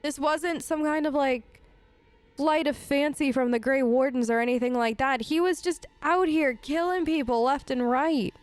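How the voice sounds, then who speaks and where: Chatty woman playing a game, expressive, highish voice, dry close mic. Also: normal speed, no room sound. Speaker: let's play host. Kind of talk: role-play gameplay commentary.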